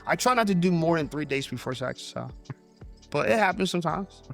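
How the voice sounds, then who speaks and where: A man's voice: on the high side, lively intonation, a little fast, gravelly, a bit bright, soft background music. A man in his thirties from New Jersey, bantering casually on a podcast panel in a teasing, cocky way. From New Jersey, United States. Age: 30s.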